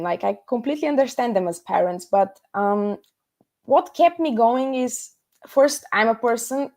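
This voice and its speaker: Young woman, higher pitch, casual interview guest, reflective, earnest, hesitant pauses. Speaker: interview guest, startup founder. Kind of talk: casual conversational interview answers.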